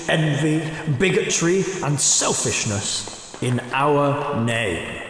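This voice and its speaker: Jovial giant spirit, commanding, declamatory, slow sweeping delivery, audio drama, huge reverberant space. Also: male voice, medium pitch, a bit bright. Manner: grand, declamatory, deliberate. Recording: cavernous long reverb, some background noise.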